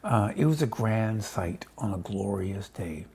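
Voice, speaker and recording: A man reading a children's storybook aloud casually, with chatty asides to his listeners and a slightly husky voice. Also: on the low side, expressive, measured pace, warm tone. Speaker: storybook reader.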